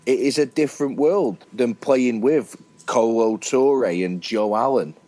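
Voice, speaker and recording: A British man co-hosting a casual football chat, animated as he argues his points and acts out what players might be thinking. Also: mid-range voice, unhurried. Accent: British English. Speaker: co-host.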